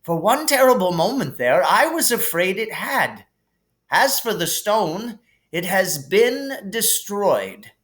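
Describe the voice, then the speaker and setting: Man as elderly wizard, kindly, calm, gently formal, slow delivery, lively raised pitch, storybook character. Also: exaggerated voice. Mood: earnest. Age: older. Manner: unhurried, gently formal, drawn-out phrasing. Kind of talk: audiobook character reading.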